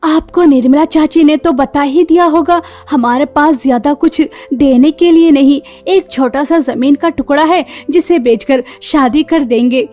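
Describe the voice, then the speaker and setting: A woman's voice: high-pitched, a little slow, few pauses, mellow. A woman voicing a kind, self-sacrificing young tailor sister-in-law in a cartoon, caring and earnest. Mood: earnest, humble. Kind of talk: cartoon story dialogue. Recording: music behind the voice.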